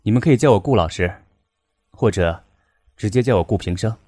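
A man playing a calm young male law professor with a composed, measured, gently authoritative manner in a radio drama. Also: lowish voice, lightly acted. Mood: composed authority. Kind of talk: Chinese campus radio drama acting.

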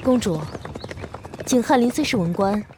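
Loyal, sensible palace attendant, expressive and fairly high, costume audio drama; female actor. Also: normal speed, background noise, lightly acted. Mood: urgent, advising. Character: loyal, practical attendant to a princess.

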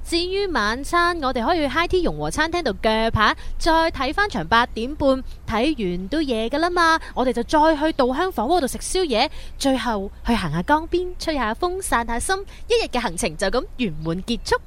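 A woman acting an affectionate young woman in love in a Cantonese radio drama, with a coy, girlish, expressive delivery. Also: sits high, normal speed, few pauses. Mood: cheerful, chatty.

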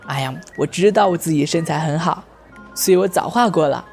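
A woman's voice: deep voice, fairly quick, music in the background. A woman playing a blunt, eccentric, self-assured teenage schoolboy artist in an amateur audio drama, speaking in a bold, matter-of-fact way. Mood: self-assured, insistent.

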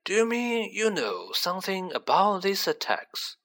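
A feeble, kindly old wizard headmaster from a storybook. The voice sits high and wavers, taking its time with slow, sighing phrases. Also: male voice, very animated, fairly bright tone, exaggerated voice. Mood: startled.

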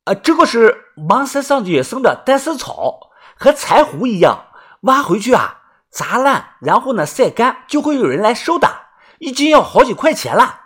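A narrator as an eager, high-voiced young country man. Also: sing-song, moderate pace, fairly bright tone, slightly rough. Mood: explaining. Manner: eager, hesitant openings, earnest explaining.

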